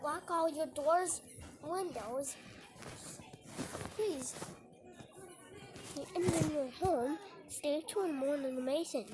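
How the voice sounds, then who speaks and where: A young-sounding amateur creator reads a mock emergency alert announcement, stumbling and garbling words in a halting way, on a homemade recording. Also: high voice. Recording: noisy homemade recording with clicks.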